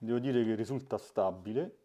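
A man's voice: on the low side, normal speed, dark tone. A man, giving a hands-on DIY tutorial as the host, recorded indoors in a small room. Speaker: host of a DIY smart-home channel. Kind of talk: hands-on tutorial narration to camera.

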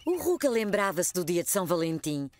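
Expressive European Portuguese woman narrator. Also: lowish voice, brisk, faint music behind. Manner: gentle, expressive storytelling. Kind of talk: scripted storybook narration.

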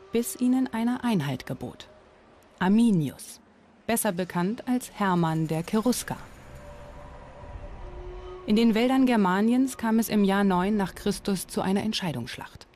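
A woman's voice: mid-range voice, normal speed, dark tone, faint music behind. A woman reading scripted documentary narration.

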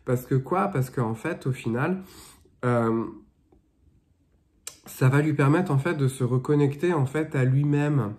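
A man's voice: mid-range voice, measured pace, pauses often, a little mellow. Man, 30s, has a cold; loose, rambling delivery full of fillers, recorded in a small room at arm's length. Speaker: spiritual guidance vlogger. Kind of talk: casual chat, then reading aloud from a dream dictionary. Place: small indoor room with bare walls.

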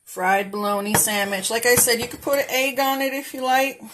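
A woman's voice: a little high, normal speed, fairly bright tone. Chatty woman cooking at home. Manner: chatty, homey, talks to viewers as y'all. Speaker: cooking vlogger. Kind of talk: casual cooking demo narration. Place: home kitchen, indoors.

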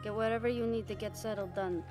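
A woman's voice: medium pitch, fairly even intonation, quick pace, lightly acted. Woman playing a resolute pirate catgirl over music. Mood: brisk. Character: fierce, loyal calico catgirl pirate, frank first mate. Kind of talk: roleplay audio drama.

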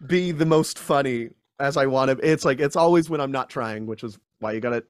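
A man's voice: medium pitch, brisk, clean tone, dry recording. A young man talks through a studio mic on a video call from a small room at home. He is chatty and self-deprecating, rambling with restarts. The sound is dry and close. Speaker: guest voice actor. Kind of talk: casual interview chat.

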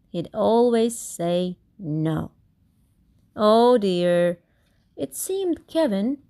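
A woman reading a children's picture book aloud expressively, with deliberate, theatrical emphasis on key words. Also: a little high, a little mellow. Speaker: storybook reader.

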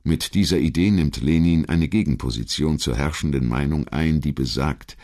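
Man, low-pitched voice, documentary narrator, scripted historical narration. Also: moderate pace, dark tone.

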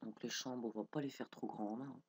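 A man's voice: medium pitch, fairly even intonation, moderate pace, vocal fry, slightly rough, no room sound. A young man with a cold and a stuffy nose, casually commenting as he builds in a game, thinking aloud with frequent hesitations. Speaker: gameplay video creator.

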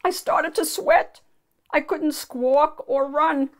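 Woman reading as a panicky, high-pitched storybook turkey. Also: fairly even intonation, slow pace. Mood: nervous. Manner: exclamatory, dramatic. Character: panicky, excitable male turkey on the run.

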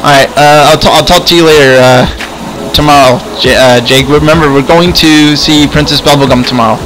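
A man improvising in-character chat in a video game roleplay, halting and often repeating words. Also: fairly high pitch, fairly even intonation, fairly bright tone, low-quality recording. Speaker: host and player of an online roleplay series.

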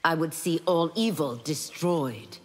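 Righteous warrior, low and husky. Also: female voice, slow pace. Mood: earnest. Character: earnest, righteous female warrior, founder of holy clan. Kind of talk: video game fight intro dialogue. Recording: long echoing reverb.

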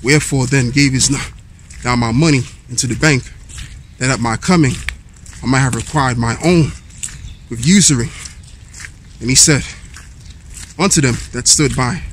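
A man in his thirties talks to a handheld camera as he strolls a park path. His preaching is easygoing and mid-pitched, broken up by fillers as he reads verses aloud. Faint outdoor noise sits behind him. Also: moderate pace. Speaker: street preacher vlogger. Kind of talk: walking vlog, preaching and reading scripture. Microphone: handheld camera at arm's length while walking.

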